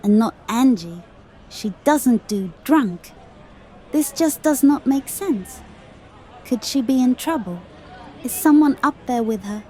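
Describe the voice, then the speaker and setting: Lady doing a disbelieving office woman in an audio story, emphatic, soft dark tone, highish. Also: lively intonation, takes it slowly, poor audio. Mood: worried. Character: worried, sharp-tongued female office colleague. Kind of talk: dramatised audio story dialogue.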